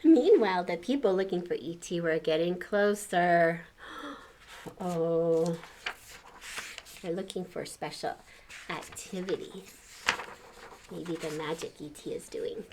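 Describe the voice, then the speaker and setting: An older woman reading a children's picture book aloud, pausing to comment on the pictures and ask young listeners questions. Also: lowish voice, background noise. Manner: exclaims and chats about the pictures, asks questions. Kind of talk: expressive read-aloud storytelling with asides to young listeners.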